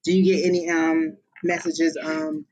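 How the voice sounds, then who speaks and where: A psychic medium giving live-streamed readings in a casual, expressive way, often exclaiming and pausing on fillers. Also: mid-range voice, rough recording.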